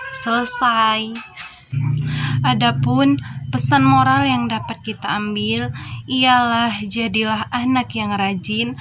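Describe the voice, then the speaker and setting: A young woman narrating a scripted children's story as a storyteller. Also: fairly high pitch. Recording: clearly noisy background.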